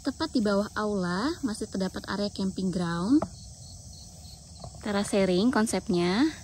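A woman's voice: medium pitch, unhurried, darkish tone, slight background noise. A woman giving an informative, orderly vlog voice-over as a tour guide to a campground.

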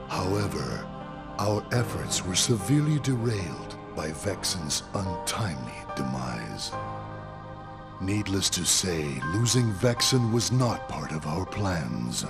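Game villain leader, deep, deliberate, grandiose, cold authority, very slow delivery. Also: male voice, full character voice. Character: cold, commanding male leader of a sinister cloaked order. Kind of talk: dramatic video game voice acting.

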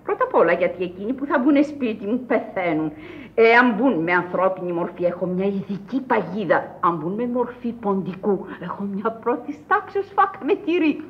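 An actress playing an eccentric, imperious old madwoman in a Greek radio play, husky, with a theatrical, declamatory manner. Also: medium pitch, lively intonation, muffled recording. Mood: confiding, musing.

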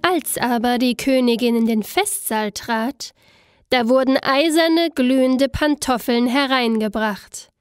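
A woman's voice: a little high, measured pace, smooth, no room sound. A woman narrating a scripted fairy tale as a storyteller. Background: soft instrumental music.

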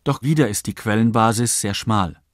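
A man narrating a scripted radio documentary in a measured, factual manner. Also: fairly low pitch, no echo, clean studio sound. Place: studio.

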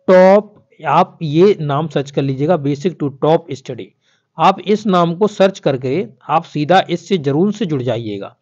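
A man's voice: fairly high pitch, a little mellow. A man explains official updates to viewers in an informal, instructional voice-over, often repeating himself. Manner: repetitive, instructional, addressing viewers directly. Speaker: exam news YouTuber. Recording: dull, lo-fi voice-over.

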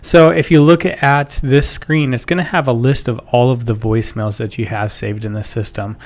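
A man in his thirties narrates a software walkthrough. His voice sits at a medium pitch, and he explains each step informally, as a presenter would in a tutorial. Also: steady tone, normal speed. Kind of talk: casual instructional screen-share narration.

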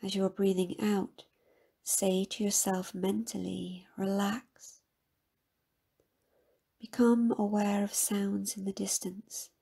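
Slow husky woman guiding meditation. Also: mid-range voice. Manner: calm, measured, soft-spoken instruction. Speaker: meditation guide. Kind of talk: guided meditation, scripted soothing narration.

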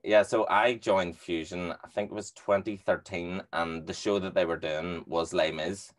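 A young man with a deep bass voice, hosting a casual interview over a headset from a small room at home, relaxed and a bit hesitant. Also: moderate pace. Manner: relaxed, hesitant, with restarts and stammers. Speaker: podcast host. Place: small bedroom studio at home, indoors. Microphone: headset with microphone on a video call.

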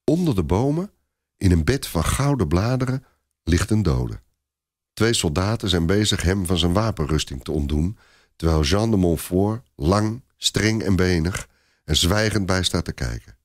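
A man's voice: low voice, expressive, a little slow, no echo, studio quality. A man narrating a scripted story in a dramatic storytelling style.

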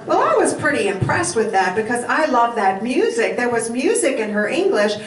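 An older woman from Poland whose first language is Yiddish, telling animated stories in a lecture with a handheld mic in a hall. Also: fairly high pitch, normal speed. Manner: animated, does voice imitations. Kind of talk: storytelling lecture. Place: hall with curtains, indoors. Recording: roomy hall from far off, faint noise.

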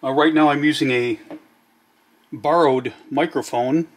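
A man with a somewhat high-pitched voice walks through a piece of equipment. His delivery is plainspoken and matter-of-fact, like an informal hands-on demonstration. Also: fairly even intonation, measured pace. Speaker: demonstrator of vintage electronics. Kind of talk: casual product demonstration narration.

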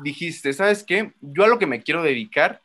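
A man's voice: on the high side, expressive, normal speed, a bit bright. Upbeat Mexican man hosting a video-call interview. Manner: upbeat, announcer-like welcome. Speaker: interview host. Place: living room with a sofa, indoors. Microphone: webcam, video call.

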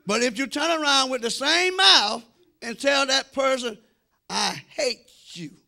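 High-pitched American preacher, gravelly and forceful. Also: male voice. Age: middle-aged. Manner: emphatic, drawn-out phrasing, addresses congregation directly. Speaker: senior pastor preaching. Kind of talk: sermon. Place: church sanctuary before a congregation. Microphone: handheld microphone.

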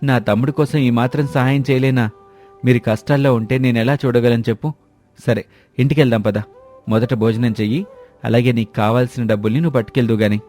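Cartoon moral tale: gentle, trusting young fisherman brother, voiced by a man, mellow, faint music bed. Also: medium pitch, steady tone, fast, lightly acted. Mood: kind, generous. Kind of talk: dramatised moral story dialogue.

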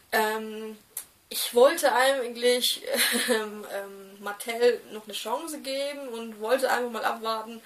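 Young woman rambling to her webcam, lots of umms and restarts, a bit high, mic kinda far off, small room. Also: fairly bright tone, a little hiss or hum. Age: 20s. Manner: hesitant, many fillers and restarts. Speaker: doll collector vlogger. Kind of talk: casual talk to camera.

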